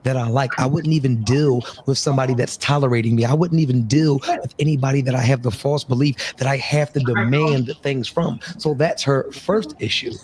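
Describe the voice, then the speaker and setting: A man's voice: medium pitch, brisk, hardly any pauses, a little mellow. A man in his forties giving blunt dating advice in a lecturing way. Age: 40s. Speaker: guest in a played clip. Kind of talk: advice talk.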